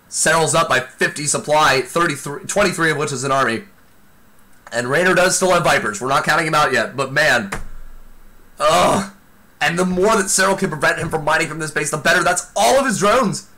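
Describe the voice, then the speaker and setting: A man giving excited live play-by-play commentary on a video game match, exclaiming often, while losing his voice. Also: on the high side. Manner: excitable, exclaims often, talks fast in bursts. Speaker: esports commentator.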